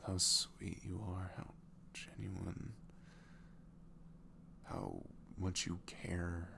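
A man playing a sweet, earnest single dad in an intimate ASMR roleplay, soft and hesitant, often dropping to a whisper. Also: sits low, little pitch movement, extremely slow, gravelly, a little hiss or hum, full character voice. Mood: tender, grateful. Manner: hesitant, gentle, murmured.

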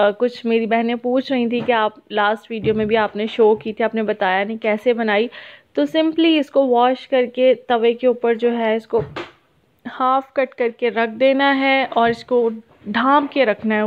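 A woman in her thirties with a Pakistani accent, sounding sad as she gives a casual, halting vlog voice-over sprinkled with English words. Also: a little high. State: sad, downcast. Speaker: family vlogger. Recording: muffled phone-like narrow-band sound.

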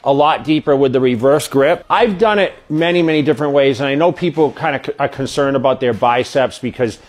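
A middle-aged man, a bodybuilding coach, explaining an exercise in a steady, practical way, recorded on a lapel mic in a home gym. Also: medium pitch, brisk. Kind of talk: exercise instruction to camera. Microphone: clip-on lapel mic.

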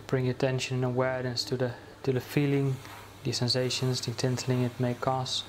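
A man leading a guided meditation, speaking slowly and soothingly with many pauses and restarts. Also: mid-range voice, completely flat delivery, slight background noise.

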